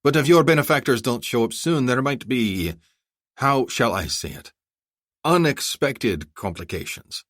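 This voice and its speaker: A man's voice: medium pitch, animated, unhurried, a bit bright, dry recording, clean studio sound, pitched above the performer's own voice. A man voicing a wily male president and former fighter, courtly and wordy, in an audiobook. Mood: courtly, guarded.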